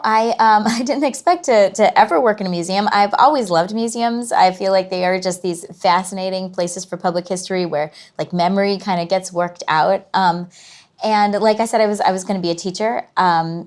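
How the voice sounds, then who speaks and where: A woman's voice: lowish voice, fairly even intonation, moderate pace. Reflective woman in a casual interview. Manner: reflective, trailing thoughts with frequent "you know". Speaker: guest, museum curator.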